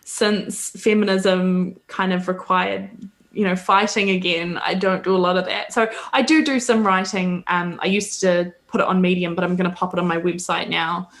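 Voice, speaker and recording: A woman's voice: fairly low pitch, steady tone, normal speed, fairly bright tone. A woman from New Zealand with a Kiwi accent, chatting as a guest on a video call, hesitant, with many ums and frequent laughs. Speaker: guest, podcaster. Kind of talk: casual interview chat.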